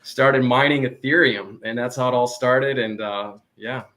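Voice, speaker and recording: A relaxed man talks as a guest in a casual online chat, his voice at an ordinary middle pitch. He hesitates often and fills the gaps with ums. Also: unhurried, slight background noise.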